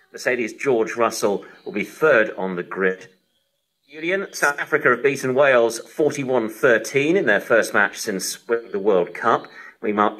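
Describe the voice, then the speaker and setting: A man with a bright, forceful voice presents live sports news. He speaks as a radio presenter linking results and upcoming commentary. The sound is that of a shortwave broadcast. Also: mid-range voice, poor audio.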